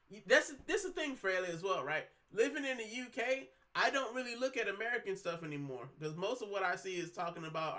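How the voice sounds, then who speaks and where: 30s guy from puerto rico doing a home livestream, bright voice, a bit high, rambly slangy chat. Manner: chatty, rambling, slangy, many fillers. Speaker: livestream host. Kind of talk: casual livestream chat, reading comments.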